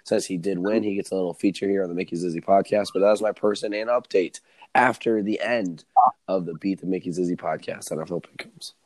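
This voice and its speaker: A man with a hoarse, slightly low voice co-hosts a sports podcast. He sounds excited and rattles off stats quickly. The recording is dry and close, with no room sound. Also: dry recording, poor audio. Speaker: podcast co-host. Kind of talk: casual sports podcast chat.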